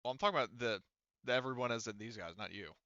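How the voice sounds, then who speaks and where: A man running a tabletop game as game master, explaining rules casually in a halting, self-correcting way. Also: medium pitch, sharp tone, no echo, muffled recording.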